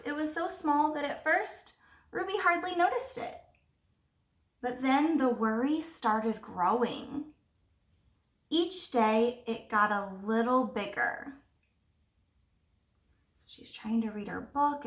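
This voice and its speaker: High-voiced woman reading to children, roomy echo. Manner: expressive, pauses to ask simple questions. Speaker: kindergarten teacher reading aloud. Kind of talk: picture book read-aloud with questions to young children. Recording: roomy echo, distant microphone.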